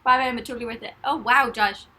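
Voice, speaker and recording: Young woman, bright voice, rambling giggly live-stream chat from her bed. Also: on the high side, steady tone, quick pace, slight background noise. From Ireland. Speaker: livestreamer. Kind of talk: casual live-stream chat, reading comments. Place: bedroom, in bed.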